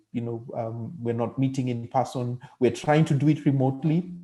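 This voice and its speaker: A man explaining a program on an online panel in measured, halting phrases. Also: medium pitch, dark tone.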